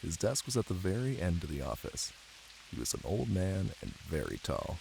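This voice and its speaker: A man playing a caring, playful boyfriend who reads tenderly in an intimate ASMR style, with a deep, gravelly voice. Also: sing-song, moderate pace, darkish tone, a little hiss or hum, full character voice, poor audio. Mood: cozy, teasing. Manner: tender, teasing, close and cozy. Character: caring, playful young boyfriend reading to his partner. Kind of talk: intimate ASMR roleplay and bedtime story reading. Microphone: close microphone. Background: rain ambience.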